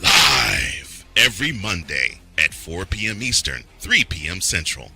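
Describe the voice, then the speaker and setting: A man's voice: low voice, very animated, measured pace, gravelly, sharp tone, husky. A man announcing a scripted show promo in voice-over. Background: music bed.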